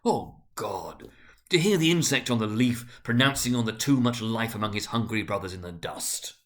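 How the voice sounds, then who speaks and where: Man acting storybook ghost, stern, scornful, grandly declamatory, widely swinging pitch. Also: animated, normal speed, fairly bright tone. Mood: indignant. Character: stern, booming ghost rebuking a miserly man.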